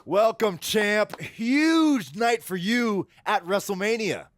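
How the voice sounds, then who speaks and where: High-voiced, eager sports broadcaster. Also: male voice, animated, takes it slowly, slight background noise. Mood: welcoming. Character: eager, slightly awkward male sports broadcaster. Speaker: commentator and interview co-host. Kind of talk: sports broadcasting in game cutscene.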